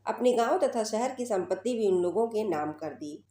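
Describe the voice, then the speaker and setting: A woman's voice: mid-range voice, animated, normal speed, warm tone. A woman narrating a scripted story as a storyteller. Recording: roomy, echoing voice recording.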